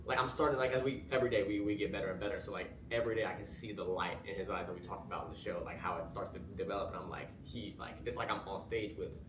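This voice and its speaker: A man's voice: fairly low pitch, steady tone, fast talker, hardly any pauses. A young man, a student actor, talking eagerly and casually about his role, with "you know" fillers. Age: young adult. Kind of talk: casual chat about rehearsals. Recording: roomy, distant mic, noisy.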